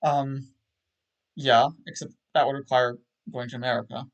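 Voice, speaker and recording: A man in casual chat on a livestream, self-deprecating and halting, with frequent restarts. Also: medium pitch, level tone, lo-fi sound. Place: home setup on a livestream call.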